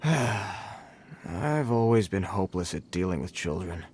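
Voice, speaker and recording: An actor playing a formal, dutiful patrol guard who is awkward with children. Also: male voice, sits low, lively intonation, slow, darkish tone, a little hiss or hum. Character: adult male patrol guard, stiff and dutiful.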